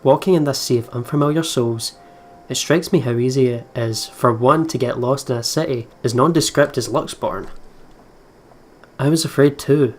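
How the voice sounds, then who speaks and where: A man hosting a gaming video, reading story text aloud and thinking out loud in a chatty way. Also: mid-range voice.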